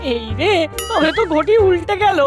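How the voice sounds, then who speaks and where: A woman voicing an anxious, excitable ten-year-old boy sidekick in a comic cartoon, fretful in manner. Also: very high-pitched, normal speed, music in the background.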